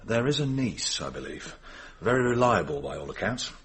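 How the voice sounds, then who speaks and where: Low-voiced, blunt English police inspector. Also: male voice, sing-song, moderate pace, a bit bright, breathy edge, exaggerated voice. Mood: businesslike. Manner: colloquial, dry, businesslike. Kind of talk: radio drama acting. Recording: noisy radio drama ambience.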